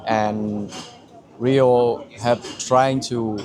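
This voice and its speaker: Halting young man. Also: medium pitch, fairly even intonation, crowd noise. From Vietnam. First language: Vietnamese. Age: 20s. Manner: halting, with frequent restarts and searching for words. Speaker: client giving a testimonial. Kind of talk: candid personal testimony.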